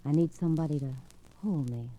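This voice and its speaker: Casting: actress for sultry American femme fatale, deep for a woman, very mellow, coaxing, vintage radio drama. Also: a little fast, a little hiss or hum, close to an everyday voice, poor audio. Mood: seductive, pleading. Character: sultry, needy, seductive femme fatale widow. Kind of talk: 1940s-50s radio mystery acting.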